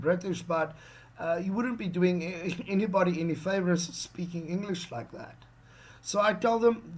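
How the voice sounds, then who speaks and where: This is a husky, slightly higher-pitched man with an Afrikaans South African accent. He sounds middle-aged and coaches teachers in a casual, animated way, often stopping to ask "okay?". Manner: animated, repeats "okay?" to check in with the listener. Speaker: online English teacher. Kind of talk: casual video lesson.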